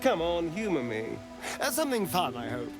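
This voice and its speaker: A man's voice: on the high side, very animated, moderate pace, over music. Theatrical, swooping suave magistrate played by a man. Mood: teasing. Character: suave, teasing, indulgent male magistrate companion. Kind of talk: cinematic fantasy voice acting.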